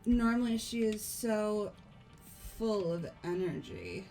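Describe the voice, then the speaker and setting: A woman playing a poised, coolly resigned young woman in a fan-voiced visual novel, speaking formally and deliberately. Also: medium pitch, animated, dark tone, soft background music, poor audio. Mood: cool, composed.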